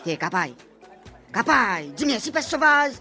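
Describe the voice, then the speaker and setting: A woman's voice: fairly high pitch, very animated, normal speed, sharp tone, faint music behind, some chatter behind, full character voice. A woman with a Réunion Creole accent voicing a hungry, blunt earthling buddy in a comic radio serial. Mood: teasing. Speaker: narrator and character actor in a radio serial. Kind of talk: radio serial acting in Creole-flavoured French.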